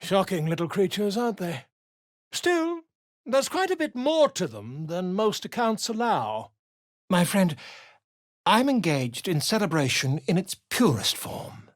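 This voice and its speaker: A man's voice: high voice, very animated, unhurried. An actor playing a flamboyant, chatty male bard in a video game, theatrical and self-important in his flourishes. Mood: grandiose. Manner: theatrical, grandiloquent, toast-giving flourish.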